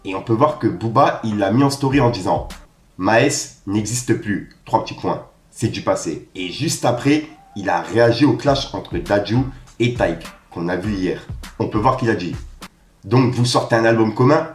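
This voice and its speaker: Man, rap news host, low voice, slangy and casual, roomy echo. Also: lively intonation, moderate pace. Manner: casual street slang, reads posts aloud.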